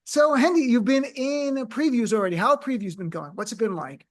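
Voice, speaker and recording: A man's voice: very high voice, fairly quick. A man hosting a radio interview, chatting with his guest, asking questions and giving show details. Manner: conversational, asks questions and plugs the show. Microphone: studio microphone.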